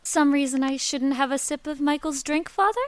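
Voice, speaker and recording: A defiant young rich daughter acted by a woman in an audio drama. Her voice is distinctly high. She is sardonic and challenges her elders. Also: fairly even intonation, moderate pace, noisy background, natural voice. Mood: sly, sardonic.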